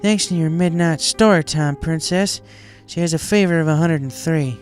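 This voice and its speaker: A woman voicing a blunt, protective female farm pony with a folksy, forceful, exasperated manner in a story reading. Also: low-pitched, moderate pace, soft background music, voice pushed up, close to an everyday voice. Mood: angry, accusing. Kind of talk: dramatized fan fiction reading.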